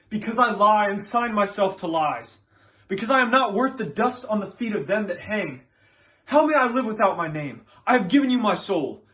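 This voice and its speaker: A man performs a proud, anguished Puritan in a stage drama. The voice sits high and drives hard on each old-fashioned phrase, impassioned and defiant. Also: fairly bright tone. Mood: anguished pleading. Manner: impassioned, emphatic, archaic phrasing. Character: anguished, defiant Puritan farmer clinging to his name.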